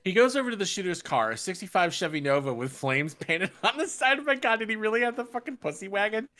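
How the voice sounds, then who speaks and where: A woman's voice: medium pitch, sing-song, fast, fairly bright tone. A woman from New York state reading a script aloud as a podcast co-host, breaking off for sardonic, incredulous asides. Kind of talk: script table read with joking commentary.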